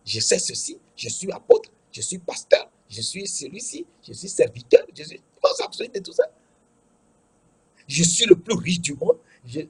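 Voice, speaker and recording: A man with a West African French accent preaching a sermon in an emphatic, repetitive way, with a husky, rough-edged voice. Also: high-pitched, rough recording. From Togo. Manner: emphatic, repeats key phrases.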